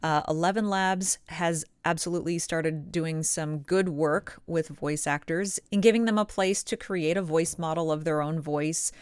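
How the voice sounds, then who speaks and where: A woman presenting instructional advice as a coach, with emphatic, deliberate stresses on key words. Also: low voice, smooth, no room sound. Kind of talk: instructional advice talk to camera.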